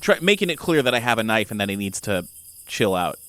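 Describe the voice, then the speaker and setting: A man's voice: lowish voice, sing-song, fast talker, a bit bright, clean tone, dry recording. A man playing a tabletop role-playing game, improvising casually and thinking aloud in informal language.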